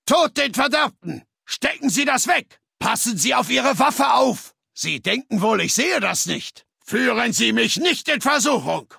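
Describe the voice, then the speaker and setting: An actor playing a fanatical legion soldier in a video game, barking threats in a rough, gravelly, aggressive way. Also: male voice, very high voice, very animated, a little slow, hardly any pauses, sharp tone, dry recording, clean studio sound, heavily acted. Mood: hostile, aggressive. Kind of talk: video game combat barks.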